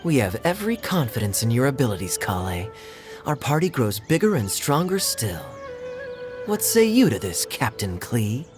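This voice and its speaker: A woman's voice: very deep voice, big pitch swings, normal speed, music in the background. An actress playing a grandiose, theatrical young woman who speaks in dramatic, old-fashioned phrasing, in a game. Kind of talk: game voice acting.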